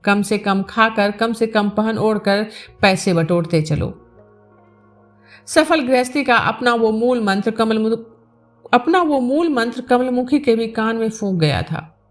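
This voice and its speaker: A woman narrates literary fiction as a storyteller. Her voice sits fairly high, and music plays behind her as she reads from the prepared text. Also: animated, measured pace. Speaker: storyteller reading a novel aloud. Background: background music.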